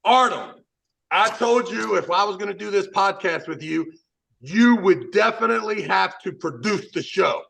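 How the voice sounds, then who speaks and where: A man's voice: high voice, normal speed, creaky, a bit bright, rough recording. A man hosting a podcast in a brash, profane, showman-like way. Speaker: podcast host. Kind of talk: casual podcast banter.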